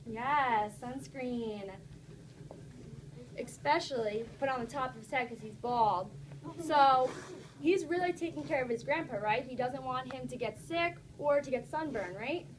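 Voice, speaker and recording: High-pitched woman reading aloud to children. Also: a little slow, background noise. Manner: encouraging, instructive, addresses children directly. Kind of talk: interactive storybook read-aloud with questions to a class.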